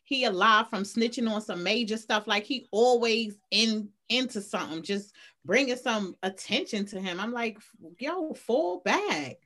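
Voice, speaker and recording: A woman panelist with a big, loud voice joins a live chat, playful and quick to tease. Her tone is bright and forceful, and it sits a little high. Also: expressive. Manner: loud, playful, says she has a big voice. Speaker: co-host panelist.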